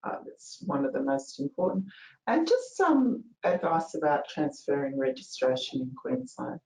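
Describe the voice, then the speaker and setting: A soft-spoken woman presenting a legal webinar in a chatty, casual way. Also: very deep voice, huge pitch swings, moderate pace, reverberant, poor audio. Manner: chatty, with fillers and a ready laugh. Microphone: video call.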